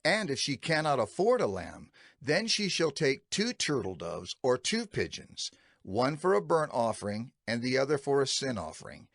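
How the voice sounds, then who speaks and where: A man reading scripture as a scripted audio Bible narrator, solemn and measured, with emphatic phrasing. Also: medium pitch, sing-song, gravelly, a bit bright, no echo, studio quality. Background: background music.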